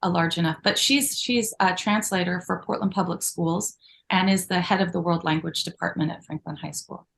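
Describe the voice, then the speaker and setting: Lowish-voiced woman, grateful guest. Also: steady tone, moderate pace, vocal fry, slight background noise. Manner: warm, grateful, storytelling with many asides. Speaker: magazine co-founder, guest. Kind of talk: conversational interview.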